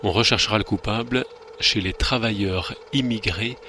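A man presenting a film review on the radio in a measured, scripted way. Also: on the low side, pushed and bright, slight background noise. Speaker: film critic host.

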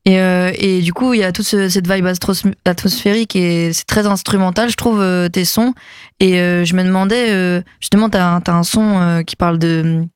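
A woman's voice: fairly low pitch, fairly even intonation, moderate pace, smooth, no room sound, clean studio sound. A woman co-hosting an interview, asking questions in a chatty, informal way. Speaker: co-host. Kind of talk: casual interview.

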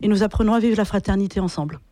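A woman volunteer introduces herself calmly. Her voice is mellow and recorded close and dry. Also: medium pitch, very fast, dry recording.

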